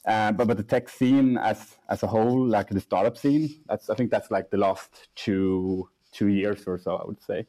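A young man, a startup CEO, answering interview questions in a casual, halting way with many restarts and fillers. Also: on the low side, dark tone, low-quality recording. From Sweden.

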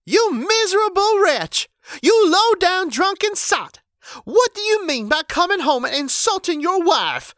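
A man voicing a furious, scolding old wife in a high, shrill, shouting voice. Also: lively intonation, a little slow, no echo, clean studio sound, exaggerated voice.

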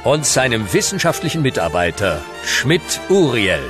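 A man's voice: mid-range voice, very animated, normal speed, over music, lightly acted. A man playing a grand, dramatic narrator in a comic radio play. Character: grandly dramatic male storyteller of a comic saga.

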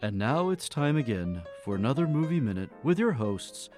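A man's voice: medium pitch, very animated, normal speed, a little mellow, soft background music, lightly acted. A man playing a radio announcer and newsreader, delivering scripted broadcast lines. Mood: announcing.

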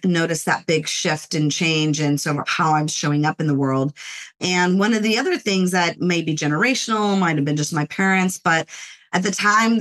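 A middle-aged woman from Los Angeles, a corporate lawyer and author, speaking as a podcast guest in a measured, reflective way with frequent "you know"s. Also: fairly low pitch, a bit bright. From Los Angeles, United States. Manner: measured, thoughtful, often says "you know". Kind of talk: reflective interview talk.

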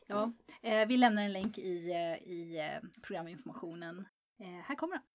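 A woman's voice: mid-range voice, animated, fairly quick. A Swedish woman hosting a podcast, chatting and interviewing casually with frequent laughter. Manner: giggly, laughs often. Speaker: podcast host.

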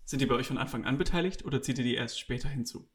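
A man from Berlin hosting an interview, asking his guest measured, even questions about planning. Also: medium pitch. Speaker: podcast host. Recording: roomy echo.

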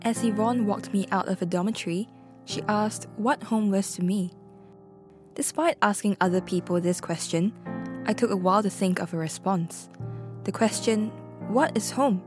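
A woman from Singapore delivers scripted documentary narration in a measured, reflective voice-over. Clear background music plays under her. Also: mid-range voice.

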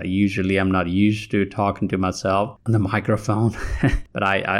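Host, male, 50s, Nepali accent with a Southern US lilt, low voice, halting solo podcast delivery. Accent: Nepali with a Southern US tinge. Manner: halting, many restarts and fillers.

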